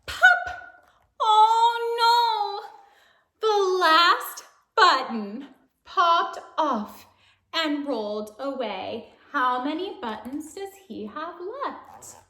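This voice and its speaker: High, slow-paced woman reading to children. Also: reverberant. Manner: animated, playful, exaggerated exclamations and questions to young listeners. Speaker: storyteller reading a picture book to children. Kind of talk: children's read-aloud with sing-song refrains.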